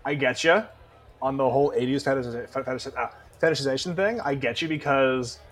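A man co-hosting a podcast in a casual chat about music, animated and swearing freely. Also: mid-range voice, brisk. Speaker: podcast co-host.

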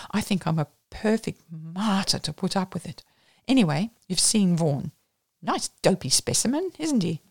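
A woman voicing a lively, impulsive young woman who gushes apologies at speed. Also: fairly low pitch, slightly rough, no room sound, clean studio sound, full character voice. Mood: wry. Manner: gushing, rapid, apologetic.

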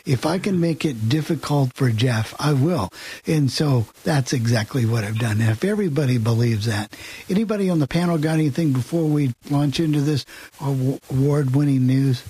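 Husky-voiced man hosting a radio show. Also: medium pitch, normal speed, dark tone. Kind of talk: casual chat.

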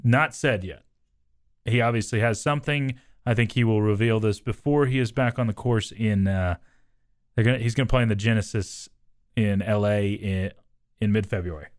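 A man's voice: on the low side, moderate pace. A man co-hosting a casual sports radio show, trading laid-back jokes and bringing up sports news. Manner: joking, laid-back quips. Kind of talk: casual sports talk banter.

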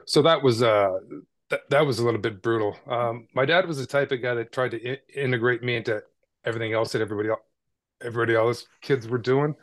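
A man's voice: medium pitch, fast talker, no room sound. A man from Canada, a podcast guest, telling his story in a casual, conversational way with frequent "right?" tags and small repeats.

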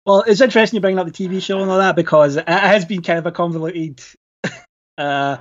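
A man's voice: high voice, fairly quick, dull audio. A man with a Glasgow accent, a podcast guest chatting casually, hesitant with many fillers and restarts. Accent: Glaswegian. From Scotland. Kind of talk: casual chat.

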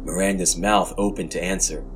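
A man narrating first-person horror fiction for a podcast in a deliberate, brooding, dramatic way with short phrases. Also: low voice, fairly even intonation, faint music behind.

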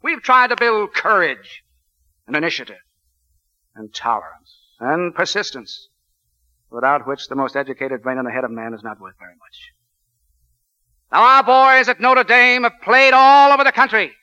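An actor playing a forceful, earnest American college football coach in 1940s radio drama, speaking in an emphatic, oratorical way. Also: male voice, high voice, dull audio, exaggerated voice. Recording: vintage 1940s radio broadcast.

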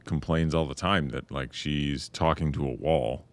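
Man, very deep voice, podcast host, relaxed casual chat. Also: measured pace. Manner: relaxed, swears freely. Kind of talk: casual conversation.

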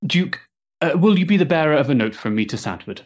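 An actor playing a tense, formal gentleman of the Victorian era, clipped and hesitant, in a period stage drama. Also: fairly high pitch, animated, fast, lo-fi sound. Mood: curt, resolved.

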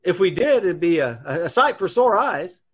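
A man playing a folksy, hesitant, chatty gas station attendant in an old-time radio drama, with a husky voice. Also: high-pitched, very animated, moderate pace, fairly bright tone, full character voice. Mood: puzzled, folksy.

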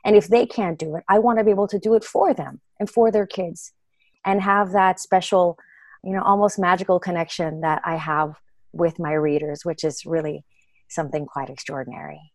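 A woman being interviewed about reading children's books online, talking in an earnest, expressive way and laughing easily. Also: fairly low pitch, normal speed, no room sound, studio quality. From United States. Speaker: guest, children's book reader. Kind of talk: casual interview answers.

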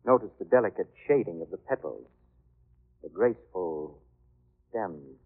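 Politely menacing radio drama villain. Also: male voice, sits low, lively intonation, slightly rough, narrow, tinny audio, heavily acted. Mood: quietly menacing. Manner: politely menacing, mock-regretful, deliberate. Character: soft-spoken menacing villain, male, who kills by touch. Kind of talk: 1940s radio drama acting. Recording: band-limited old radio recording.

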